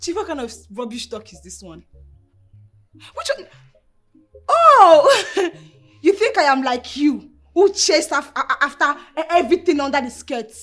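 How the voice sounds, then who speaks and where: Film drama: mocking, combative Nigerian wife, high and highly animated, music underneath. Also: female voice, a little slow, long pauses, husky, heavily acted. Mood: scornful, indignant. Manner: scoffing, combative. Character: defiant, mocking wife answering her husband back.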